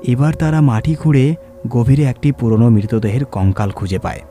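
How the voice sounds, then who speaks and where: A male narrator reads a horror tale in a mellow, mid-pitched voice. His scripted delivery is dramatic and measured, built on suspense. Soft music sits behind him. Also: expressive.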